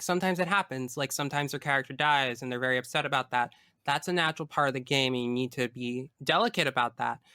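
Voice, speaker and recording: A man speaking as a guest in a casual interview, enthusiastic and emphatic in an expressive way. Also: medium pitch, smooth, dry recording, studio quality. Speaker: tabletop game master. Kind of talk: casual interview chat about personal experience.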